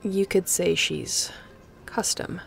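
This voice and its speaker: Woman acting a gravelly, wry female space captain. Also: lowish voice, slow, full character voice. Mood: reflective storytelling. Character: guarded, wry female spaceship pilot captain, softening toward an old friend. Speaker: voice actor in audio roleplay. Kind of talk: intimate scripted audio roleplay.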